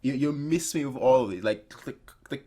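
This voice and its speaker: A man's voice: mid-range voice, animated, a little fast, dark tone. A young man hosting a reaction video, chatting casually to viewers in a rambling, playful way. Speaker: host of a reaction video channel.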